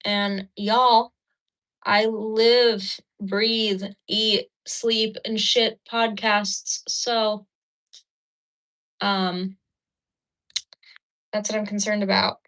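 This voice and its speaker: A woman's voice: mid-range voice, flat delivery, very slow, long pauses, fairly bright tone, rough recording. A woman hosting a casual livestream, chatting with viewers and laughing while she does her makeup, recorded on a phone. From Ukraine. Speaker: livestream host. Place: indoors at a mirror.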